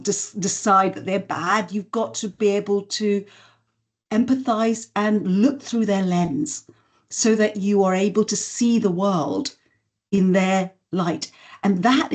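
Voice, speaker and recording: A middle-aged woman from Singapore talks as a guest on a podcast interview. She tells her story in a measured, articulate way, as befits a voice and communications coach. Her pitch sits in an ordinary middle range. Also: darkish tone.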